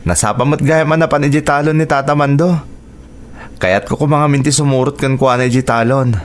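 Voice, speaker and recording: A courteous young villager , voiced by a man, speaks with an Ilocano accent. He sounds respectful and slightly unsure of himself. His voice sits at a middling pitch for a radio play character. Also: steady tone, brisk, close to an everyday voice. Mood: polite. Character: young man Ryan, polite visiting nephew. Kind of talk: radio drama acting.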